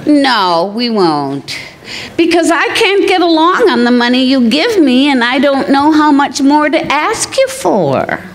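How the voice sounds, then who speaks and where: A scatterbrained wife from an old-time radio comedy , played by a woman. Her voice is high and swings widely in pitch, taken at a slow pace as she reasons earnestly and gets things cheerfully muddled. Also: big pitch swings. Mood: earnest complaining.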